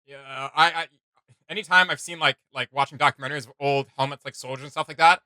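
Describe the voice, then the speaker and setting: A man with a fairly high, very bright voice gives casual reaction commentary, recorded dry and close. He rambles conversationally like a host thinking out loud. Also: fast talker, no room sound.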